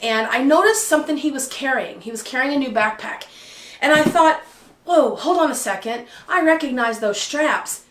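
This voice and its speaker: A woman vlogging casually to camera, a little hoarse and clearing her throat now and then, chatty and excitable. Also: on the high side, roomy sound. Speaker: photography vlogger. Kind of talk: casual vlog talk to camera. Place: living room at home by a patio door.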